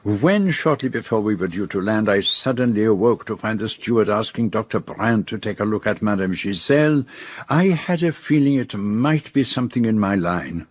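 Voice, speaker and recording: An actor playing a courteous, theatrical older Belgian detective in a radio drama, with a French-speaking Belgian accent and formal, precise, deliberate speech. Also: male voice, fairly low pitch, expressive. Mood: measured storytelling.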